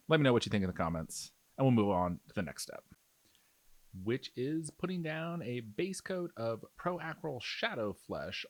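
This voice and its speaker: A man's voice: medium pitch, no room sound. A man giving a casual, explanatory tutorial, talking conversationally as if thinking aloud. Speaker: miniature painting tutorial host. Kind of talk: casual, explanatory tutorial narration over painting.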